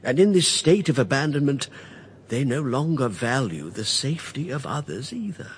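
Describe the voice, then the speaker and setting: An actor as an animated, solemn preacher. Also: male voice, medium pitch, a little hiss or hum, natural voice. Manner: preaching, measured. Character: solemn, compassionate male clergyman. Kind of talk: radio drama sermon.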